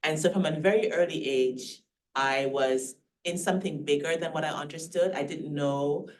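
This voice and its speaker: A woman's voice: extremely low pitch, far from the mic, slight background noise, low-quality recording. A woman with a Jamaican accent, raised in Canada, speaking earnestly and thoughtfully as a panelist. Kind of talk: panel discussion.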